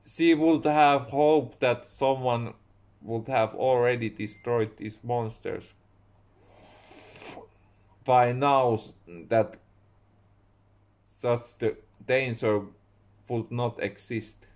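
Slow halting Finnish-accented man reading. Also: medium pitch, steady tone, darkish tone. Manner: halting, rereads and corrects his words. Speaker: author reading his own book. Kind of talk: slow reading of a story aloud. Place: small room indoors at home.

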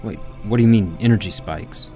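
A man playing a steady, commanding special-ops army captain in an audio drama, talking in clipped military phrases. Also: on the low side, a little fast, soft background music, close to an everyday voice. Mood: alert. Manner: clipped military radio talk.

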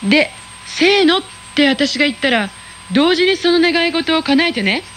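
A sassy teenage schoolgirl with a high, chatty voice and a quick, cheeky way of talking, as a woman acts her out. Faint background noise sits under the lines of this radio play. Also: animated, fairly bright tone. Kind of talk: radio drama acting.

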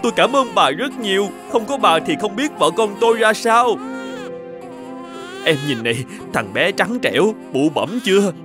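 A man voicing a courteous, grateful new father from a magical kingdom in an animated fairy tale, polite and eager. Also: high voice, normal speed, music in the background.

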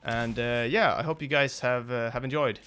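A man's voice: mid-range voice, fairly even intonation, moderate pace, fairly bright tone, clean tone. A young man hosting a gaming video, talking off the cuff in a casual, hesitant, rambling and apologetic way.

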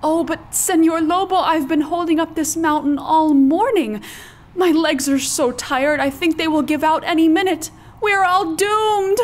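A woman's voice: very high voice, measured pace. A woman voicing a clever female sheep who feigns meek helplessness in a children's puppet show, pleading and melodramatic. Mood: feigned distress.

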